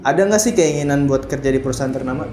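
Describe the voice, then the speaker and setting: A man's voice: mid-range voice, very fast, background noise. A man hosting an interview in a casual way. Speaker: interviewer, host. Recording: roomy echo, music bed.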